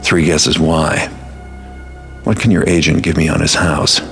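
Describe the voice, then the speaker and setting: Video game master thief: bottom-of-the-range pitch, creaky gravel, weary, guarded, dryly sardonic. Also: male voice, fairly even intonation, measured pace, a bit bright, soft background music, heavily acted. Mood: businesslike. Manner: dry, sardonic, understated. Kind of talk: video game cutscene acting.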